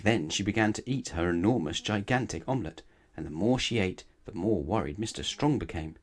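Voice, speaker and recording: A man with a low voice reads a picture book aloud for children. His delivery is expressive, in the manner of a bedtime storyteller. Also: brisk. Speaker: storybook reader.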